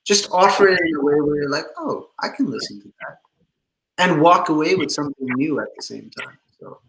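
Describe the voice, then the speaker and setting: A young man from Pakistan, a musician, talking about himself in a relaxed, chatty way with easy laughs during a casual interview. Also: on the high side, brisk, gravelly, low-quality recording. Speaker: musician guest.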